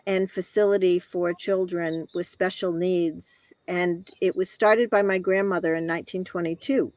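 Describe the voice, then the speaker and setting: Older American female interview guest, halting personal storytelling, very leisurely pace, dry close sound. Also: lowish voice, darkish tone, no room sound. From Connecticut, northeastern United States. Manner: reflective, halting, pausing between short phrases. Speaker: guest telling own life story.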